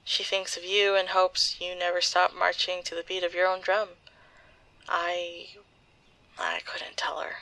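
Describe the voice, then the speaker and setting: A woman playing a grieving, bitter young woman in a halting, intimate audio drama monologue. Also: fairly low pitch, little pitch movement, sharp tone, low-quality recording. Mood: sad, subdued. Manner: halting, hesitant, slow. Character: grieving young woman, bitter, leaving voicemail for lost close friend.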